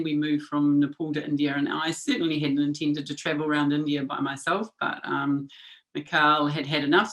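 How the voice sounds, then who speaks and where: A woman in her fifties with a New Zealand accent, a guest recounting anecdotes in a lively way in a podcast interview. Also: deep voice. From South Island of New Zealand. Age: 50s.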